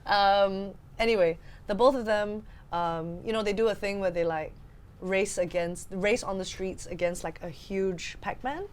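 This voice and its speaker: A woman's voice: medium pitch, fairly even intonation, unhurried. A woman co-hosting a podcast, chatting casually about films in an exasperated, very informal way. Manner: exasperated, informal, heavy use of "like". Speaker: podcast co-host. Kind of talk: casual film discussion.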